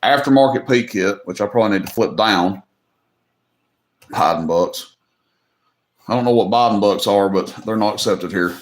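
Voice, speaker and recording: A man's voice: lowish voice, normal speed, long pauses, echoey room. Folksy Tennessee man hosting a livestream. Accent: Southern US. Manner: folksy, joking, drawling, says y'all. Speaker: livestream host running an auction. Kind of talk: casual livestream chat.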